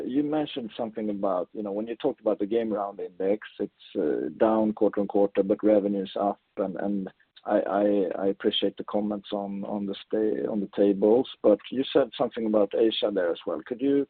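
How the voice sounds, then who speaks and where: A man's voice: fairly low pitch, normal speed, creaky, slightly rough, no echo. A man who is a financial analyst, putting questions on an earnings call and often restarting them tentatively. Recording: dry, dull band-limited phone line.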